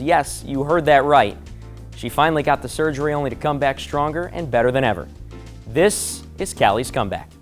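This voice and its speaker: A man presenting scripted sports feature introductions as a studio host. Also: a little high.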